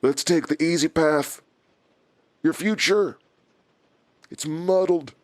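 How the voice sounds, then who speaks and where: A smug, menacing male villain in a horror audio drama. His voice is on the higher side and recorded dry, with a controlled, calculating and taunting delivery. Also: slow, no echo. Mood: coolly menacing.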